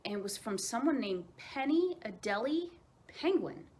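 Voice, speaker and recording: Lively woman reading a children's story aloud. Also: on the high side. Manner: expressive, with dramatic emphasis and pauses.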